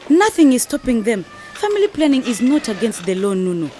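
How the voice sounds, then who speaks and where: Determined young wife in a radio play, highish lively voice, talking her friend into stuff, bit of noise. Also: fairly quick. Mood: persuasive. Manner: assured, emphatic. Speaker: actor in a radio drama. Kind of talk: educational radio drama acting.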